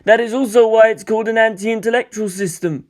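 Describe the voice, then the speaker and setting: Wise elderly monk acted by a man, patient mentor, formal measured delivery, storybook reading. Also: high-pitched, fairly even intonation, voice pushed up, close to an everyday voice. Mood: wry, instructive. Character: wise old male mountain monk, patient teacher.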